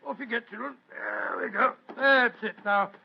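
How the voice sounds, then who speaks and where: A man's voice: high voice, moderate pace, creaky, slight background noise. A man playing Doctor Watson, a jovial older doctor, in a vintage radio drama. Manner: jovial, hearty, chuckling. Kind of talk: 1940s radio acting. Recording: dull old radio recording.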